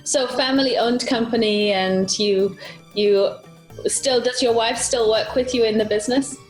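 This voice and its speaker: A woman's voice: fairly high pitch, measured pace, soft background music, rough recording. Chatty British-born woman host. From Britain. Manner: chatty, laughs easily. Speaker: travel show host. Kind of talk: video call interview.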